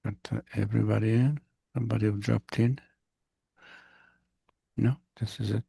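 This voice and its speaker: A man's voice: lowish voice, fairly even intonation, moderate pace, vocal fry, mellow, breathy edge, no echo. A man teaching as a professor on a video call, talking in a rambling, anecdotal way and often restarting his sentences. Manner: rambling, anecdotal, self-interrupting. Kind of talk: online class introductions.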